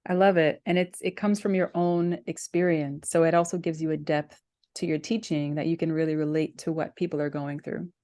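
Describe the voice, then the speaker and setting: Woman, podcast host, low-pitched, soft dark timbre, conversational interviewing. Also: flat delivery, brisk. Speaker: podcast host, meditation practitioner.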